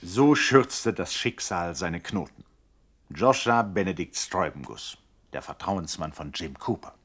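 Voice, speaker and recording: Ein Schauspieler als Erzähler einer Krimikomödie im Radio der 1960er, theatralisch, mit augenzwinkerndem Ernst. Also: male voice, low voice, lively intonation, measured pace, a bit bright, slightly rough, heavily acted. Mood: mock-solemn. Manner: theatrical, mock-solemn. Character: mock-dramatic, wry male storyteller of a crime comedy. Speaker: narrator. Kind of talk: 1960s German radio drama narration.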